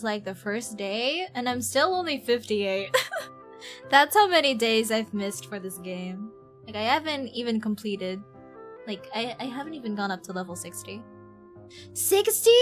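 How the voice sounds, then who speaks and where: Higher-voiced young woman chatting on stream. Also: sing-song, a little slow. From Ireland. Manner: informal, slangy, chatty, self-deprecating. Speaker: game streamer. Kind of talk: casual live stream chat. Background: game music.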